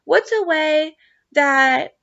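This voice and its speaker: A woman reading aloud to children like a teacher, with expressive phrasing and clear emphasis. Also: sits high, very slow, no room sound, muffled recording. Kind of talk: read-aloud of a children's novel with casual asides.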